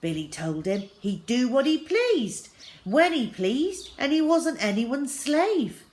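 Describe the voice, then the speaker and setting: A woman reading a children's novel aloud as a first-person diary, with expressive, deliberate phrasing. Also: high-pitched.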